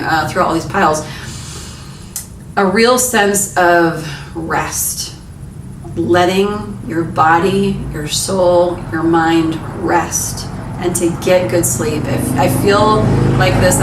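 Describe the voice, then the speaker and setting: A young adult woman giving a casual pick-a-card tarot reading in a frank, encouraging, chatty way. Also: on the low side. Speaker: tarot reader. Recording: echoey room, mic some distance away, background noise.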